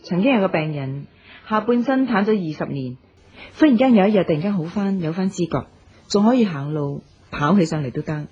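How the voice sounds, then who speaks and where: An actress playing a calm hospital doctor, explaining things in a measured, reassuring way in a radio drama. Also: female voice, lowish voice, a little hiss or hum, natural voice. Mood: consoling. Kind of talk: Cantonese radio drama acting. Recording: dull radio drama recording, music behind.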